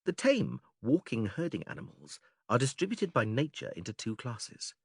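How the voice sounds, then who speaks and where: A patient philosopher with animated intonation. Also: male voice, mid-range voice, vocal fry, breathy edge, no room sound, clean studio sound, full character voice. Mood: instructive. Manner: measured, didactic, formal, questioning. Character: male philosopher stranger, patient, methodical, leading an argument. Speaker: audiobook actor reading philosophical dialogue. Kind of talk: dramatised classical dialogue reading.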